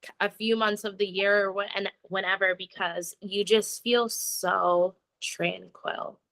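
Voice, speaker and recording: A young woman from the United States talks in a relaxed, rambling way, dropping "like" into nearly every phrase. Her voice has a bright, crisp edge. She sounds like she's speaking into a laptop on a video call. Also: medium pitch, a little slow. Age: young adult. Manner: chatty, lots of "like" fillers, laughs while talking. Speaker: interview guest. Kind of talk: casual conversation.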